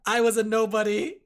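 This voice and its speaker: A vtuber streamer who giggles often and chats playfully with viewers while drawing. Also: mid-range voice. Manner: giggly, playful, talks to chat. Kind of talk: casual livestream chat while drawing.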